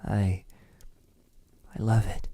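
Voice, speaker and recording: A man playing a possessive, lovesick vampire captor in an ASMR roleplay, speaking intimately in a husky voice that often drops into a whisper. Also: dark tone, close to an everyday voice. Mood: longing, frustrated. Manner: slow, lingering, halting delivery.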